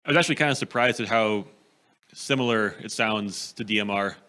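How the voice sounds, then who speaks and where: A man's voice: lowish voice, a little fast, bright, forceful tone. A man commenting casually from the audience of a class. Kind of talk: casual discussion.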